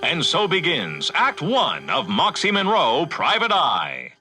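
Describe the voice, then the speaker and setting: A man's voice: fairly high pitch, wildly swooping pitch, takes it slowly, bright, forceful tone, exaggerated voice. A man playing a dramatic announcer for an old-time detective radio serial. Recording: music bed under the voice. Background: music.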